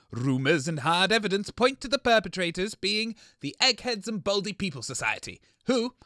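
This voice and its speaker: A man's voice: sits high, sharp tone, no echo, clean studio sound, full character voice. A man with a British accent playing a grumpy, unrepentant, self-righteous radio co-host in scripted comedy, stubborn and dryly sarcastic. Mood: dry newsreading.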